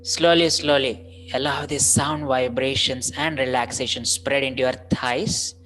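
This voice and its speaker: A meditation guide with an Indian accent, giving instructions in short phrases with pauses, in the style of a guided relaxation. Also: mid-range voice, steady tone, rough recording. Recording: meditation music and singing bowls behind. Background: music, singing bowls, tuning fork chimes.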